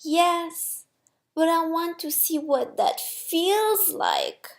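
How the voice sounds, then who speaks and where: A woman's voice: very high-pitched, wildly swooping pitch, very slow, voice pushed up, exaggerated voice. A woman voicing an eager, curious, childlike little soul in a storybook, wide-eyed and full of questions and exclamations. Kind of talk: storybook character voice.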